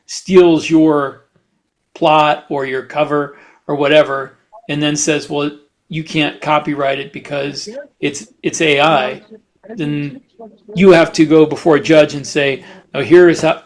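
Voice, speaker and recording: A man co-hosting a podcast in casual chat, talking haltingly and often restarting his phrases. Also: fairly high pitch, flat delivery, rough recording. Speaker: podcast co-host and fiction writer.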